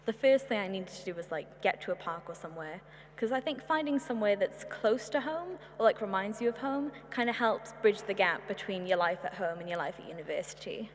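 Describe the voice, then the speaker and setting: A woman's voice: medium pitch, expressive, fairly quick. A young woman, a university student giving casual, unscripted advice on a panel. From Wales. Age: young adult. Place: large echoing hall. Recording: reverberant hall, humming background noise.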